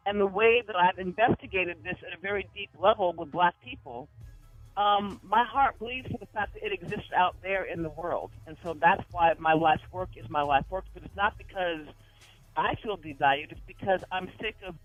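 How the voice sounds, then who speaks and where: A woman guest on a talk show, reflecting earnestly and deliberately, with emphatic phrasing, through dull lo-fi radio sound. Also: lowish voice, soft background music. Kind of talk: earnest personal reflection in discussion.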